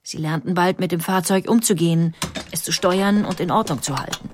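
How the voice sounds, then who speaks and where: A woman playing a fondly exasperated 19th-century inventor's wife and mother, recalling family life in measured, formal storytelling for an audio feature. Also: fairly low pitch, a little hiss or hum, close to an everyday voice. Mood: mildly exasperated. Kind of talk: scripted first-person recollection in an audio feature.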